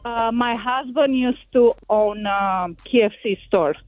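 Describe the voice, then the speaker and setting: A woman's voice: a little high, slow pace. A woman from Romania with a heavy Romanian accent, calling in to a radio show to tell a story in a forthright, chatty way. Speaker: caller. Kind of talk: phoned-in story. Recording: tinny phone line.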